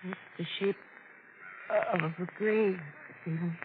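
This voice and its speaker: Frightened wife, breathless, stammering, suspicious, 1940s radio melodrama, dull lo-fi sound. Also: female voice, on the low side, steady tone. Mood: shaken, accusing. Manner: halting, breathless, stammering delivery. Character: frightened, distraught young wife suspecting murder. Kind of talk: 1940s radio drama acting. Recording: noisy, muffled vintage radio recording.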